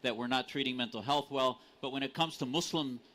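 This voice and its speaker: A man's voice: mid-range voice, steady tone, a little fast, a bit bright. A man from the United States in a live panel debate, speaking earnestly and insistently with formal vocabulary. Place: conference stage.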